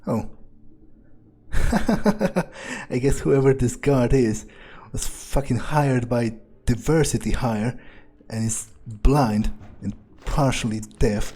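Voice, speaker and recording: A man playing a cynical, arrogant vampire in a game, musing haltingly to himself with plenty of swearing. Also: mid-range voice, mellow, husky. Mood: calculating, irritated. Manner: musing, halting, foul-mouthed. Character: cynical, arrogant, cold-blooded male vampire hitman. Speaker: gamer playing in character. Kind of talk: in-character game playthrough monologue.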